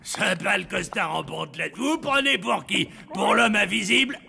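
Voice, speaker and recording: A man's voice: high-pitched, expressive, normal speed, vocal fry, bright, forceful tone, a little hiss or hum, full character voice. A man voicing a rude, demanding hotel guest in a French cartoon dub, speaking in a brash, mocking way.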